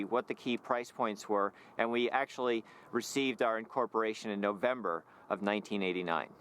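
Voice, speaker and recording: A man who founded a company recounts its start in a matter-of-fact way. His voice is mid-pitched for a man. Faint ambient noise sits in the background. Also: a bit bright. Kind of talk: interview answers.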